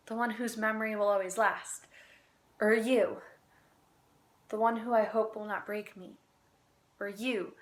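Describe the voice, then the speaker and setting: A woman amateur poet recites spoken-word slam poetry in an earnest, deliberate manner with dramatic pauses. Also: mid-range voice, a bit bright.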